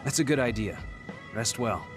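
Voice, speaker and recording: An actor playing a kind, protective, earnest military captain in a video game. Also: male voice, medium pitch, expressive, normal speed, over music, natural voice. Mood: grateful. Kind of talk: English video game dub acting.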